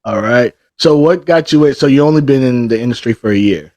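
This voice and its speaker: A man hosting a casual live-streamed podcast, his voice sitting at an ordinary middle pitch. He keeps his questions short and easygoing, and the sound is close and dry, with no room around it. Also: a little fast, no room sound. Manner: relaxed, short prompting questions. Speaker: podcast host. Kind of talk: casual interview.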